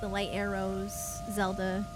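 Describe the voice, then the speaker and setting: A woman's voice: mid-range voice, monotone, a little slow, over loud music. A woman chatting casually on a game livestream, musing aloud as she reacts to what she watches. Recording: clearly noisy background.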